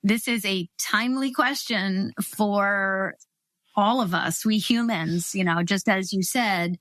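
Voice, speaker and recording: A woman's voice: medium pitch, takes it slowly, a bit bright, no room sound. Thoughtful woman guest from Ohio. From Ohio, USA. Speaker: guest, cross-cultural consultant. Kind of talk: interview answers.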